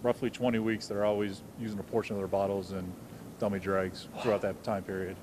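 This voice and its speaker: A man's voice: lowish voice, little pitch movement, brisk, noisy background. A man in his thirties, a fire captain, answering interview questions matter-of-factly in a fire station garage.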